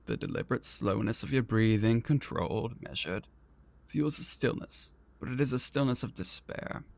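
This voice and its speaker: An actor playing an arrogant, vain and petulant prince in a fantasy audio roleplay, speaking in a pompous, theatrical and condescending manner. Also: male voice, medium pitch, expressive, moderate pace, vocal fry, a little mellow. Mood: intrigued, smug. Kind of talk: scripted ASMR roleplay audio drama.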